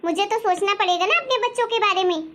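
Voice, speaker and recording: Casting: female performer as angry young wife, extremely high voice, sarcastic and quarrelsome, moral-story family drama. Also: quick pace, a bit bright. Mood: defiant, demanding. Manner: scolding, sarcastic, argumentative. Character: angry, sharp-tongued young wife demanding her share. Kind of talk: acted dialogue in Hindi family story.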